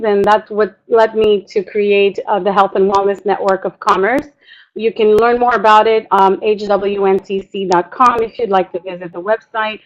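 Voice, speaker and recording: A middle-aged woman from Montreal presenting informally as a coach, enthusiastic and chatty, often halting and interrupting herself. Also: mid-range voice. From Montreal, Canada; born in Israel. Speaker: business coach and public speaker. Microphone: online webinar audio. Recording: dull lo-fi web conference audio.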